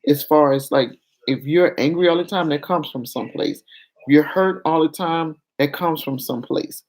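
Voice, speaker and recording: A woman vlogger with a fairly deep, projecting voice, talking frankly and confessionally to her viewers in a casual way. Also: moderate pace, a little mellow, poor audio. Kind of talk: candid casual talk to viewers.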